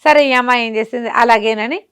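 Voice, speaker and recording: A woman's voice: on the high side, a little fast, a bit bright, clear, resonant tone. A woman telling a folk tale conversationally, often asking and answering her own questions. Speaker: storyteller. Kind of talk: spoken folk-tale storytelling.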